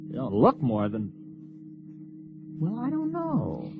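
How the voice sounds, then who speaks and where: Animated, exasperated American grad student. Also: male voice, fairly high pitch, slow pace, phone-quality sound, background noise, heavily acted. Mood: curious, wary. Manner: testy, animated, educated. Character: exasperated, irritable male university graduate student. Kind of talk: audio drama acting.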